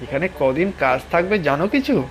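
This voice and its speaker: A man's voice: on the high side, very animated, fairly quick, soft background music. A man voicing a humble, resigned village day labourer in a cartoon, with folksy, plain-spoken delivery. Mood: resigned, chatty. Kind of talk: cartoon voice acting.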